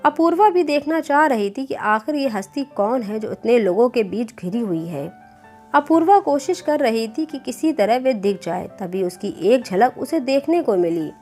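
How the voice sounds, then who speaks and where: A woman's voice: fairly high pitch, lively intonation, normal speed, darkish tone. A woman reading a scripted moral story as a storyteller. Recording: clear background music bed. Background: music.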